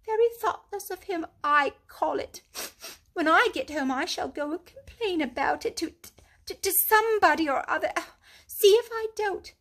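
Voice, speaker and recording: A woman voicing a small, fussy male mole who complains in a high, whining, crying tone. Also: expressive, normal speed, fairly bright tone, exaggerated voice. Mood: grumbling. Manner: pettish, complaining, exasperated.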